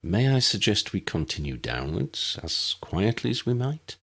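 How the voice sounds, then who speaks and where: A man voicing a sage, droll uncle in an audiobook story, measured and wordy in his speech. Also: lowish voice, sing-song, a bit bright, husky, full character voice. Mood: dry. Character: wry, formal, sage uncle.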